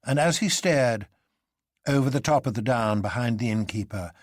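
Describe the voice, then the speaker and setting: A man's voice: medium pitch, animated, moderate pace, no echo, studio quality. A man narrating a literary story in a dramatic audiobook style.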